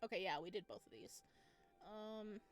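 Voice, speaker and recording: A woman's voice: medium pitch, sing-song, normal speed, faint music behind. A woman hosting a gaming video, giving casual, gushing, enthusiastic let's-play commentary.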